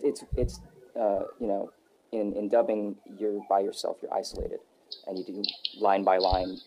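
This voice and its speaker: A young adult man answering interview questions in a relaxed, rambling way, with many fillers and restarts. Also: on the low side, a little hiss or hum. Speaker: voice actor guest. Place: Texas.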